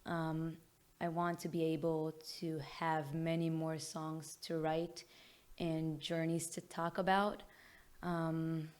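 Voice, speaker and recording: An Israeli-accented woman talks at a slow, measured pace in a somewhat low voice. She answers interview questions thoughtfully, often pausing on "um" while she gathers her words. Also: level tone, a little mellow. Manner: thoughtful, frequent "um" pauses. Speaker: singer-songwriter guest.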